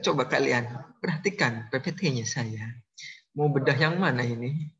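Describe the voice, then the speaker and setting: A man's voice: medium pitch, moderate pace, fairly bright tone, dull audio. A man giving an online math lecture, teasing his students and joking in mock regional accents. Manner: playful, teasing, jokes with students. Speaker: university lecturer.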